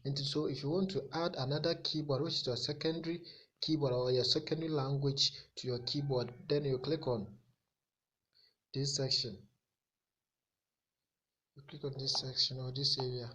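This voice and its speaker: A man from Ghana with a Ghanaian accent and Akan as his native language, giving an informal, halting, repetitive step-by-step tech tutorial that mixes two languages. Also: medium pitch, steady tone, fairly bright tone, slight background noise.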